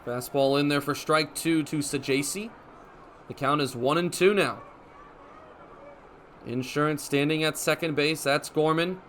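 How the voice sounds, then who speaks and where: High, bright young male baseball announcer. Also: a little slow, long pauses, slight background noise. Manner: narrates action in short clipped phrases, building to excited calls. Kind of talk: live radio sports commentary.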